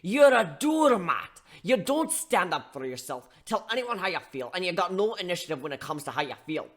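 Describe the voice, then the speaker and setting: Guy doing a gruff bartender giving tough love, folksy slang, really lively swings in pitch, story reading. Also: fairly quick, heavily acted. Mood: angry, scolding. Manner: blunt, colloquial, lecturing with folksy slang. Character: gruff scolding barkeep, blunt tough-love older male mentor.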